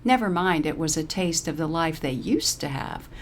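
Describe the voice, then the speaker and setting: A woman's voice: sits low, lively intonation, moderate pace, slight background noise. A woman narrating a scripted short story.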